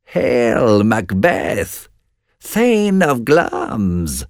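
A man voicing eerie female witches from a storybook, calling out prophecies in drawn-out chants. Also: medium pitch, huge pitch swings, darkish tone, dry recording, clean studio sound, pitched above the performer's own voice, full character voice. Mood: ominous proclaiming. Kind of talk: storybook narration.

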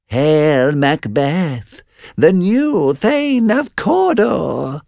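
A man voicing eerie female witches from a storybook, calling out prophecies in drawn-out chants. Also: a little high, very animated, creaky, a little mellow, no room sound, clean studio sound, voice pushed up, full character voice. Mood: ominous proclaiming. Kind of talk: storybook narration.

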